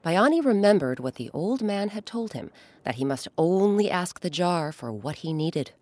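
A woman hosting and narrating a scripted children's story in an expressive, dramatic storytelling manner. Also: lowish voice, no echo. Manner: expressive, dramatic pauses, addresses young listeners. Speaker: host and narrator. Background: music.

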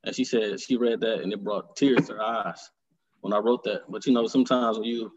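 Husky man, reflective. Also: on the low side, fairly even intonation, dull audio. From Detroit, Michigan. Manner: reflective and earnest, halting. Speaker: author, interview guest. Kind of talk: conversational interview.

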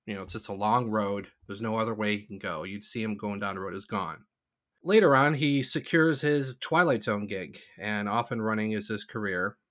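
A man's voice: on the low side, normal speed, a bit bright. A man co-hosting a talk show, telling an anecdote in an informal storytelling style.

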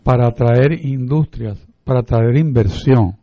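A man with a Puerto Rican accent hosting political talk radio, laying out his points in a deliberate, measured way. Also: medium pitch, muffled recording. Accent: Puerto Rican Spanish.

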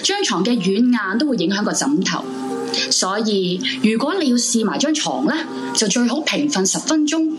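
A heartbroken young Hong Kong woman, played by an actress, speaks Cantonese in a radio drama. Her voice sits at an ordinary female pitch, full of longing, over a clear music bed. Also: very animated, normal speed, natural voice. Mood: reflective. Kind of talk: radio drama acting with reflective monologue.

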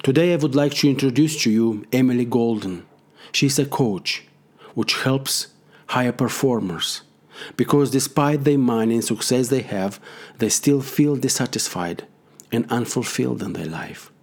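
A man hosting an interview, speaking with careful, slightly halting phrasing. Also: mid-range voice, darkish tone. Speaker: podcast host.

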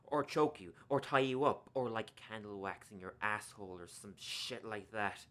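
A man playing a needy, eager, insecure sidekick who craves excitement in a dark comedic radio play. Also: lowish voice, moderate pace, close to an everyday voice.

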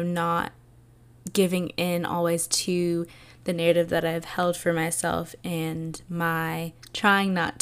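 A young woman hosting her own podcast, talking alone in a soft, soothing and earnest way, with many fillers. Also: on the low side. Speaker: podcast host. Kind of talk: reflective solo podcast talk.

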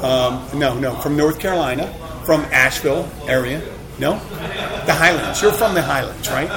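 Philly guy rambling and joking around, roomy bar, loud crowd chatter behind him. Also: on the high side, normal speed, creaky. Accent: Philadelphia. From Philadelphia. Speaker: show host. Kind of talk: casual radio-style chat.

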